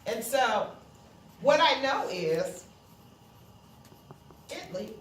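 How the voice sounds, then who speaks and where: A woman's voice: a little high, big pitch swings, very slow. A woman teaching a classroom lesson, explaining step by step, repeating herself and checking that students understand. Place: classroom, indoors. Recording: roomy, noisy classroom, distant mic.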